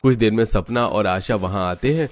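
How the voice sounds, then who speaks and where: A man's voice: lowish voice, moderate pace. A man reading scripted narration for a cartoon family story.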